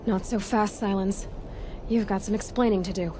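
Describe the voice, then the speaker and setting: A woman's voice: medium pitch, fairly even intonation, moderate pace, noisy background, natural voice. An actress playing a headstrong young woman adventurer in a video game cutscene, speaking bluntly and with determination. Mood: suspicious.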